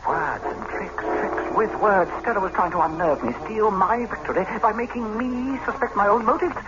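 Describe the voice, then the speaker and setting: An actor playing an unhinged, arrogant husband who plotted a murder, narrating and speaking intensely and haltingly in a radio play. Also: male voice, high-pitched, loud music behind the voice, natural voice. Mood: smug, brooding. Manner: intense, dramatic, halting delivery. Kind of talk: radio play acting with first-person narration. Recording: noisy, dull old radio recording.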